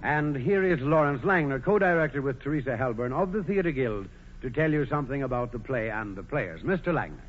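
A man's voice: mid-range voice, animated, fairly quick. A man announcing a programme in formal, stately, declamatory 1940s radio style. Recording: tinny vintage radio, music behind.

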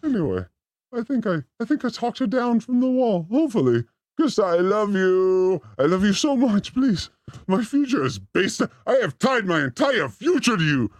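A man's voice: high-pitched, big pitch swings, normal speed, dry recording. A man hosting a livestream with a rambling, theatrical comic monologue, exclamatory and given to swearing. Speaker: host of a livestream show.

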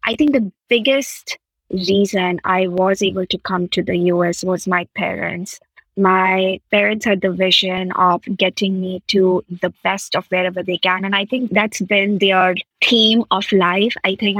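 A woman's voice: medium pitch, fairly even intonation, measured pace, a bit bright, no room sound, low-quality recording. A woman raised in the Middle East, a podcast guest talking earnestly and enthusiastically about her past in long flowing sentences. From India, raised in Qatar. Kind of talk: reflective conversational interview.

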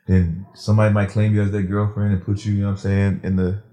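A man in his thirties hosts a podcast in a laid-back, slangy way and asks his guest blunt questions. His voice sits a little low with a mellow, dark tone. He talks quickly. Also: level tone. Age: 30s. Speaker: podcast host. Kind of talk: casual interview chat.

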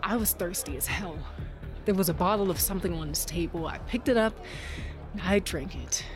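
A woman's voice: fairly low pitch, a little fast, over music, lightly acted. An actress playing Tasha, a tough, rage-driven woman cage fighter, narrating in the first person in an audio drama with a brooding, dramatic delivery. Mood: grim, hazy.

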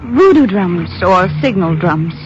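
An actress playing a gracious, composed colonial hostess with a polite manner in a 1950s radio drama. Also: female voice, on the low side, animated, a little slow. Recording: muffled, noisy old radio recording.

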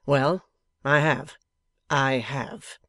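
A man's voice: mid-range voice, fairly even intonation, very slow, sharp tone, dry recording, full character voice. A man voicing a tense schoolmaster in a novel, intense and impatient, with rage held barely in check. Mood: impatient, anxious. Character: tense, haggard schoolmaster seething with repressed rage. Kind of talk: dramatised novel reading.

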